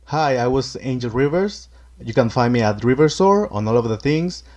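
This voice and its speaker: A man's voice: mid-range voice, lively intonation, moderate pace, darkish tone. A man casually introducing himself in a diffident, trailing way. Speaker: fan artist.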